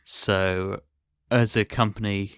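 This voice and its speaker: A man with a fairly low, smooth voice hosts a casual podcast discussion. His pitch stays rather even, and the sound is close and dry. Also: slow pace, no echo. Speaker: podcast host, theatre company member.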